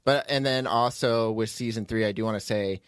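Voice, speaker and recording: A man with a fairly low voice hosts a casual podcast. He talks informally and loosely, rambling and cutting into his own sentences as he goes. The sound is very dry, with no room echo. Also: a little fast, clear, resonant tone. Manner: rambling, informal, self-interrupting. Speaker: podcast host, writer and producer. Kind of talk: casual unscripted chat.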